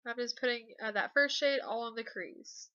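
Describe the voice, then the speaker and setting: Congested young woman, bright casual voice. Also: a little high, normal speed, no echo, lo-fi sound. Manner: relaxed, hesitant, many ums and uhs. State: congested from a cold. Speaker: beauty vlogger. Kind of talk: casual makeup tutorial voice-over.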